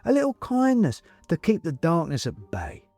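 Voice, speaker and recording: A man's voice: on the high side, wildly swooping pitch, moderate pace, dark tone, no echo, soft background music, voice pushed up, full character voice. A man playing a pious little china man pleading for charity in a storybook, with an earnest, rhetorical manner. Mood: beseeching.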